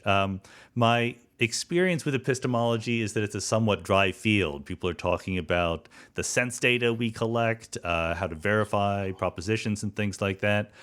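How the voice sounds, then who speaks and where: A man hosting a podcast, talking in a conversational interview style. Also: on the low side. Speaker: podcast host.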